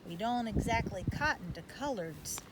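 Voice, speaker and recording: A woman's voice: medium pitch, lively intonation, moderate pace, close to an everyday voice. A woman voicing a curt, dismissive male hotel clerk with rural phrasing in a storybook. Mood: cold, dismissive. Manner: folksy rural dialect, curt. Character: dismissive small-town hotel clerk, adult man. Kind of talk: storybook read-aloud. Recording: loud background noise.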